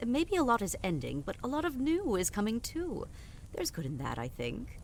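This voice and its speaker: A woman's voice: fairly high pitch, huge pitch swings, moderate pace, vocal fry, slight background noise, heavily acted. Woman playing a haughty, exasperated queen mother, swooping intonation. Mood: coaxing, wistful. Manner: dismissive, cajoling, imperious, with exasperated sighs. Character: haughty, guilt-tripping middle-aged queen, mother of a rebellious princess. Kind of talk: audio drama podcast acting.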